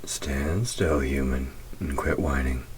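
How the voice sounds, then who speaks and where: A man's voice: rumbling low, takes it slowly, slight background noise. A man playing a taunting, predatory demon who trains a human hunter, in an audio roleplay spoken to the listener, teasing and menacing. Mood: sardonic, impatient. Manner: teasing, menacing, drops into whispers.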